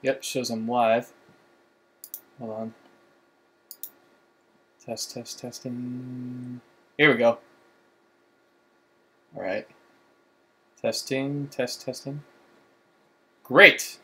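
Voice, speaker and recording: A man's voice: medium pitch, level tone, drawn-out words, pauses often, bright, forceful tone. A man streaming from home, casually explaining a card game and rambling with many fillers and restarts. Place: room at home. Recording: echoey room with faint background hum.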